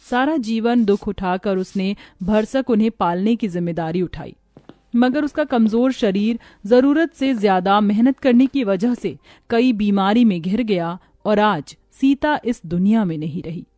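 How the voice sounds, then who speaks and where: A woman's voice: mid-range voice, expressive, a little slow, dark tone, no echo, studio quality. A woman narrator reading a scripted moral story in a solemn storytelling manner.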